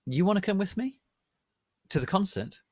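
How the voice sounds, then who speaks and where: Guy as a moody sweary goth teen boy in a story, raised pitch, overdramatic. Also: lively intonation, moderate pace, no room sound, clean studio sound. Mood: sulky, inviting. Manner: disgusted, overwrought. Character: brooding, foul-mouthed teenage vampire-styled goth boy.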